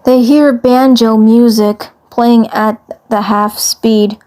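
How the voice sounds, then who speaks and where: A woman narrating a fan fiction story, reading haltingly and often repeating phrases. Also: fairly high pitch, steady tone, dark tone.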